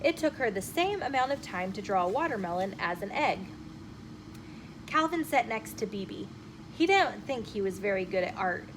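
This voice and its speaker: A woman storyteller reading a children's chapter book aloud in an expressive, emphatic way. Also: medium pitch, moderate pace. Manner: animated, emphatic phrasing with acted dialogue. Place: inside a bookshop. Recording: noticeable room noise behind the voice.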